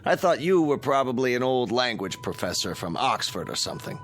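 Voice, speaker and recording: An actor in a radio drama playing a jovial, pushy ship passenger with a joking, insistent manner. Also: male voice, mid-range voice, big pitch swings, brisk, natural voice. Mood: wisecracking.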